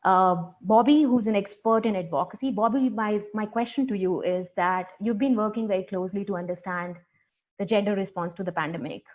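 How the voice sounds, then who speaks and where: A woman moderating an online panel, introducing the speakers in a polite, formal, slightly halting way. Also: medium pitch, a little mellow, highs cut off. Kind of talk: introducing panelists on a video call.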